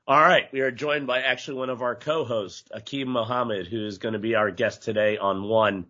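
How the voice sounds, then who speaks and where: A man hosts a casual podcast chat in a middle-pitched voice. He talks loosely, with plenty of filler words. The recording sounds a little dull and lo-fi. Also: steady tone, fairly quick, bright, forceful tone. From United States. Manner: loose, peppered with "you know" and "uh". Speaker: podcast host.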